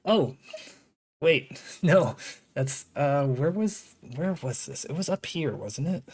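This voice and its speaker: A woman's voice: sits low, lively intonation, slow, darkish tone, husky. A woman giving casual live gameplay commentary as a streamer, rambling and muttering to herself. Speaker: game streamer.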